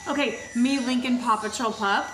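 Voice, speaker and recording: A woman's voice: a little high, fairly even intonation, moderate pace, echoey room, background noise. A woman in her thirties playing a mother, pleading and coaxing through a locked bathroom door in a comedy sketch. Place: home hallway by bathroom door. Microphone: boom mic.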